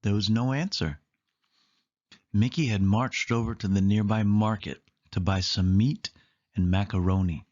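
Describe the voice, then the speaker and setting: A man's voice: lowish voice, fairly even intonation, a little slow, darkish tone, lo-fi sound. A man narrating a children's picture book aloud, leaning emphatically on key words. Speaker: storybook reader.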